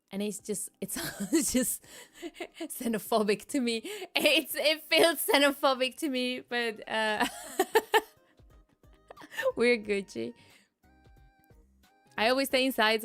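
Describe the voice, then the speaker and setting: A woman's voice: mid-range voice, a bit bright. A woman streamer, chatting casually and giggling often, restarting her sentences. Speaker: VTuber streamer. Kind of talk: casual chat reading live chat.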